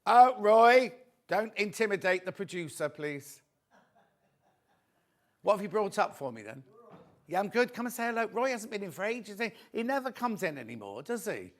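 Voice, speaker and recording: A man hosting a live shopping show, chatty and friendly, reading out viewer messages with a slightly croaky voice. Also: sits high, sing-song, normal speed. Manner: chatty, reads viewer messages aloud warmly. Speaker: shopping channel host.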